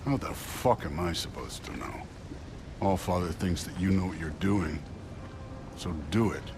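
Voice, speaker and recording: An actor playing a brutish, menacing middle-aged Norse god in a video game, gruff, mocking and gravelly. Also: male voice, animated, normal speed, noisy background. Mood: gruff, irritated. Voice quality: gravelly, deep. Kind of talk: video game cinematic acting.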